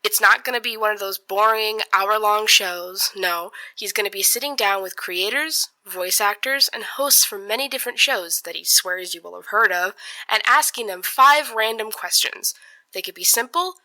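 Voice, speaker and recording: A woman's voice: mid-range voice, normal speed, bright, forceful tone, low-quality recording. A young woman giving a chatty, scripted promotional read for a podcast.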